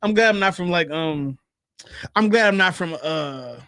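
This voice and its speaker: A man's voice: high-pitched, lively intonation, moderate pace, pushed and bright, no room sound, studio quality. A man from Philadelphia co-hosting a casual podcast chat, laughing often and repeating his words. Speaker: podcast co-host.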